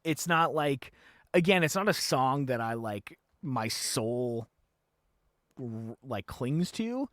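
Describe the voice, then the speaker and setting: A man's voice: mid-range voice, lively intonation, slow, a bit bright, dry recording, studio quality. A man in his thirties hosting a podcast, chatting casually, laughing often and swearing freely. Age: 30s. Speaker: podcast host. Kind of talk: casual chat.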